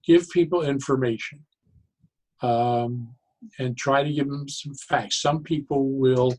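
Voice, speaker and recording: A man speaking as an interview guest, explaining his views conversationally in halting, deliberate phrases with pauses. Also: medium pitch, fairly even intonation, a little mellow, rough recording. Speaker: interview guest, therapeutic humor expert.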